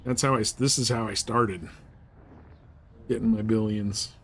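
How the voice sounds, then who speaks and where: A man's voice: on the low side, steady tone, normal speed, fairly bright tone, a little hiss or hum. A man chatting casually as he streams a game, laid-back, slangy and prone to swearing. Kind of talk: casual chat with viewers while playing.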